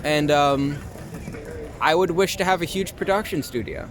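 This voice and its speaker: A man's voice: fairly high pitch, normal speed. A young American man from Long Island chatting casually and informally as an interviewee, feeling his way through answers. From Long Island, New York, USA. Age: young adult. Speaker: interviewee, actor and filmmaker. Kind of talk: casual street interview chat. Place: outdoors in the city. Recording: noisy outdoor city street with traffic.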